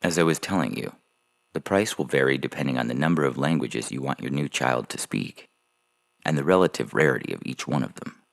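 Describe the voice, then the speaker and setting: A man playing a slick, callous adoption agency salesman with gravelly smooth patter in an audio story. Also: rumbling low, expressive, a little fast, exaggerated voice. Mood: smooth selling. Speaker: voice actor reading a fiction podcast story. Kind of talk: dramatized short story reading. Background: music under the voice.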